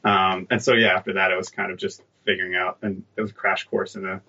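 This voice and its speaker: A man's voice: sits low, flat delivery, fast talker, pushed and bright. A man in his thirties, a guest telling stories in an easygoing, self-deprecating way. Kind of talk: conversational interview. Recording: dull remote line.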